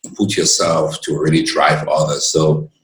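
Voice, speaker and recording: A man from Cameroon, a lawyer and guest who tells his story in an earnest, expansive way and pauses to gather his words. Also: a bass voice, monotone, reverberant. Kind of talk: reflective storytelling in conversation.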